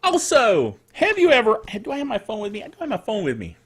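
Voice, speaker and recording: A man's voice: high-pitched, husky. An older man hosting a casual solo tech show, rambling playfully like a self-styled curmudgeon, stretching words for emphasis and tossing in spoken sound effects. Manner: animated, emphatic delivery with drawn-out words. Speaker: podcast host. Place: small home studio.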